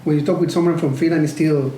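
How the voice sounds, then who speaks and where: Guy hosting a chill podcast, highish mellow voice, sounds far away and roomy. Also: steady tone, fast talker. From Costa Rica. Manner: curious, chatty, asks easy questions. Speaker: podcast host. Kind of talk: casual podcast chat. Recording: roomy, distant mic, faint noise.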